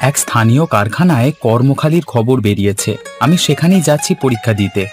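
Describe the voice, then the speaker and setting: A man's voice: mid-range voice, animated, a little fast, faint music behind, close to an everyday voice. A man voicing a dutiful, earnest young son in a cartoon, speaking politely and eagerly. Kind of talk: Bengali cartoon voice acting.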